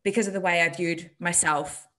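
A woman's voice: lowish voice, fairly even intonation, moderate pace, fairly bright tone. A woman in her thirties from New Zealand with a New Zealand accent, talking openly and reflectively as a guest on a video call, with frequent ums. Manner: open, reflective, many ums. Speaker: guest, health and performance coach. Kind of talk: candid interview over video call. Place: bright room at home. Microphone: desk mic on video call.

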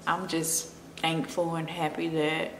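A young woman with a Memphis accent chatting casually as she does her makeup at home, relaxed and confiding. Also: low voice, slow pace, a little hiss or hum. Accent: Memphis Southern. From Memphis, Tennessee. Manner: relaxed, confiding, drifting between makeup steps and personal chat. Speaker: beauty vlogger. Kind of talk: chatty get-ready-with-me makeup talk. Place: home room with plants. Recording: echoey room, music playing behind.

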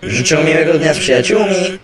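A man voicing a polite, apologetic skeleton guardian in an animated fan dub, earnest and courteous. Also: a little high, a little fast, a crowd in the background, close to an everyday voice.

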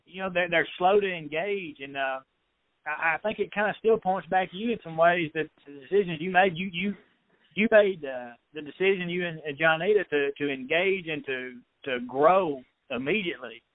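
Halting man on a phone-like line. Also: high-pitched, expressive, no room sound. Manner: halting, repeats words. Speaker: podcast co-host. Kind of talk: casual interview chat. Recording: dry, tinny phone-like sound.

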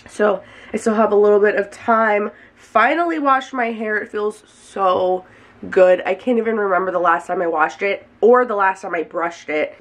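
A young woman, a hairstylist, chatting casually and rambling to a handheld camera in small home rooms and a car, with lots of "like" fillers. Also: lowish voice. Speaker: hairstylist and vlogger. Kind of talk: casual vlog chat to camera. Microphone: handheld camera at arm's length.

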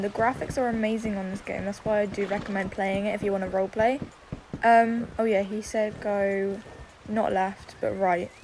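A woman giving casual, excitable commentary as she role-plays in a video game, exclaiming often. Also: fairly high pitch, slight background noise. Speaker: gaming video creator.

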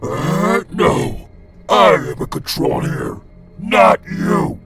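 A man voicing a savage, evil, hungry monster in a dramatic audio story, with a menacing, drawn-out delivery. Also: sits high, big pitch swings, music in the background, voice pushed up, exaggerated voice. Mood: enraged.

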